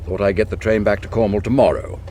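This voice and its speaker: An actor playing a courteous, middle-aged English colonel with a clipped, reassuring manner in a radio drama. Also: male voice, lowish voice, steady tone, fast, warm tone, a little hiss or hum, natural voice. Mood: kindly, advising. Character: courteous, avuncular English colonel, discreet and worldly. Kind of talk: British radio drama acting.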